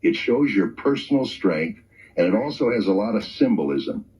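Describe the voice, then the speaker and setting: Insistent campaign fixer played by a man, lowish animated voice, old radio comedy, dull recording. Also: slightly rough. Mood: persuasive. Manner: brisk, insistent. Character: pushy, image-minded male campaign manager.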